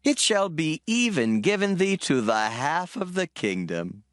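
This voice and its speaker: A man's voice: on the high side, sing-song, measured pace, a bit bright, no echo, studio quality. A man playing a regal, magnanimous king with a grand, commanding delivery in a dramatized scripture reading. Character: King Ahasuerus, regal adult male monarch, magnanimous and commanding.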